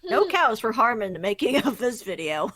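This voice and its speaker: A woman's voice: fairly high pitch, sing-song, normal speed, fairly bright tone, no echo. A woman chatting casually in brief interjections, giggly and amused.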